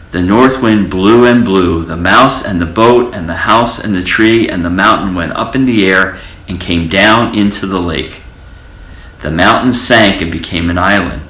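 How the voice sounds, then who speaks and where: Deep-voiced older man reading a storybook. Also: fairly bright tone, reverberant, low-quality recording. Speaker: storybook reader. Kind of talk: read-aloud children's storytelling.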